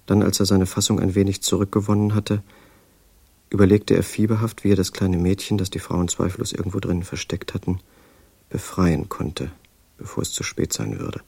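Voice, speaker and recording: An actor as a composed storyteller, delivering measured, suspenseful literary narration for an audio drama. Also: male voice, sits low, gravelly, darkish tone, husky, no room sound, full character voice. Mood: tense storytelling. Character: composed male storyteller narrating a madman's life.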